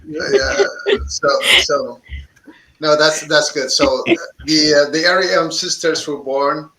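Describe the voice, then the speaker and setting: A man's voice: a little high, sing-song, takes it slowly, noisy background. A man hosting an interview, asking questions in loose, stumbling phrases. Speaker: interviewer host. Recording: roomy echo.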